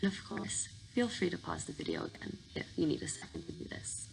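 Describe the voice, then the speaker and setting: A woman leading a guided sleep meditation in a soothing, informal, reassuring manner, with a breathy voice that sometimes drops toward a whisper. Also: on the low side, sing-song, brisk, poor audio. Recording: clear background noise.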